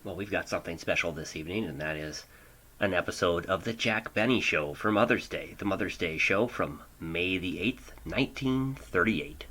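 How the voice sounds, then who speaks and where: Deep, bright-voiced male radio host. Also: animated, moderate pace. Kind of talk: casual scripted radio hosting. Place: home studio.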